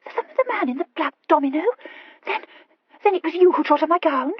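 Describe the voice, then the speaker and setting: A woman's voice: high voice, brisk, no room sound, dull audio, pitched above the performer's own voice, close to an everyday voice. A woman voicing an impulsive, anxious young aristocratic wife who stammers and gushes earnestly in an audiobook story. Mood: astonished. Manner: hesitant, gushing confession with emphatic outbursts.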